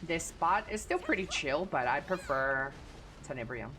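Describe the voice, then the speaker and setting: A woman's voice: low-pitched, lively intonation, normal speed, a bit bright, poor audio. A woman with a Texan Southern accent chatting casually and crudely with her audience while streaming a game. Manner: crude, profane, laid-back. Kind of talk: casual gaming stream chat.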